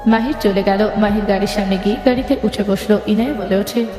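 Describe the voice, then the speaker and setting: A woman's voice: mid-range voice, steady tone, quick pace. A woman reading scripted story narration between lines of dialogue. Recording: echoey reverberant hall, music underneath.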